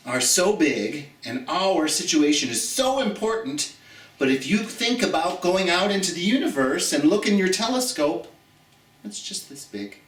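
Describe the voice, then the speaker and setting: A man's voice: a little high, fairly bright tone. An American man hosting a children's storytime, reading and chatting to viewers in a theatrical manner, drawing out key words for emphasis. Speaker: storytime host. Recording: roomy, echoey, distant from the mic.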